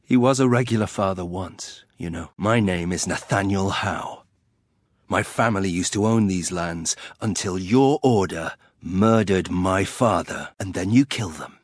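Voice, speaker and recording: Brooding, low-voiced fantasy nobleman, dry. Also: unhurried, no echo. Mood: brooding, bitter. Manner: dry, sardonic, clipped. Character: brooding, bitter young nobleman turned warrior, proud and sardonic. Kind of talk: fantasy video game voice acting.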